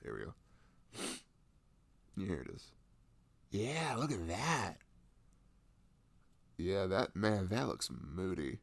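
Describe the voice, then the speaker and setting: A man's voice: low voice, very animated, takes it slowly, vocal fry, pauses often, no echo. A young adult man chatting casually and enthusiastically about video games in a rambling, slangy way, close to the microphone.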